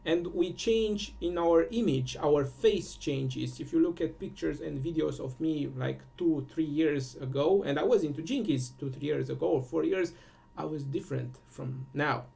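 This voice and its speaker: A man from Romania speaking English with a Romanian accent, giving a casual spiritual talk full of fillers, restarts and pauses. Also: a little high, gravelly, mellow. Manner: halting, many fillers and restarts. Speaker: host.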